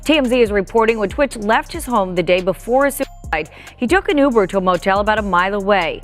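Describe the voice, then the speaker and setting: A woman's voice: medium pitch, animated, fast, faint music behind. A woman presenting a scripted television news report.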